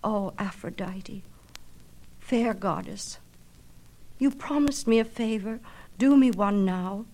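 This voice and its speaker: A woman voicing a gentle, lovelorn young nymph with a plaintive, yearning manner, in a storybook reading of myth. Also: mid-range voice, slow pace, long pauses, poor audio. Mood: grieving, pleading.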